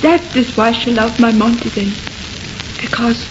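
A woman's voice: a little high, a little slow, natural voice. A woman playing a devoted, anxious young lover, melodramatic and earnest in an old radio horror play. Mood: uneasy. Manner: melodramatic, earnest, broken English. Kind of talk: 1930s radio horror acting. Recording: muffled, hissy old radio recording.

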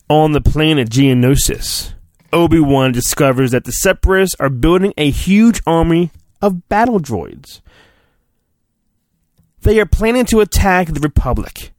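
A man giving a mock-dramatic storybook reading, theatrical in delivery, dropping into goofy, deadpan, sarcastic asides. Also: fairly high pitch, slow, long pauses, no echo. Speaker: podcast host.

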